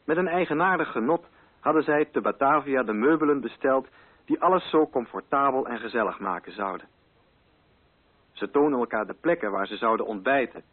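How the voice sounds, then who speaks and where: Husky male radio narrator. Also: medium pitch, phone-quality sound. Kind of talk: scripted literary narration. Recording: band-limited radio recording.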